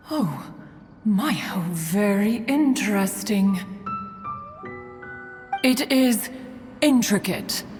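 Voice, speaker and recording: Aloof immortal lady, video game, grandly formal, measured pace, long echoing tail. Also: mid-range voice, a little mellow. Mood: pleased, regal. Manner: stately, archaic, refers to herself as "one". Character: proud, aloof female mountain immortal who loves gadgets. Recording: long reverb, music behind.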